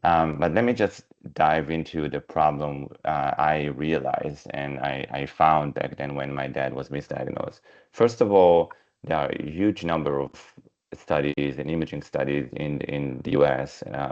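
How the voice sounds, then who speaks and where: A man with an Israeli accent, a startup founder pitching his company over a video call, halting with many fillers and restarts. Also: gravelly, a little mellow. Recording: dull lo-fi video call audio.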